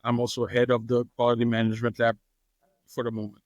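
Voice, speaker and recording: A man, a master distiller answering interview questions in a relaxed, hesitant way with many fillers and easy laughs. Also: mid-range voice, quick pace, no room sound, muffled recording. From Haiti.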